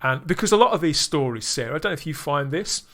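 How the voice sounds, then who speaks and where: A man's voice: on the high side, expressive, fast talker, a bit bright. A man hosting a podcast review chat, enthusiastic and pulling his co-hosts in with tag questions. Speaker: podcast host. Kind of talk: casual review discussion.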